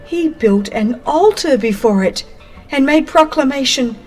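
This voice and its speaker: A woman reading a dramatized religious narration in a solemn, expressive, deliberate way. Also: sits high. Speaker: audiobook narrator. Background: music.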